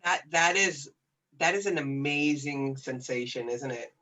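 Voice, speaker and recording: A man hosting a podcast interview, offering soft encouraging murmurs and gentle questions. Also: mid-range voice, measured pace, low-quality recording. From Israel. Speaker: podcast host. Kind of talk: casual interview.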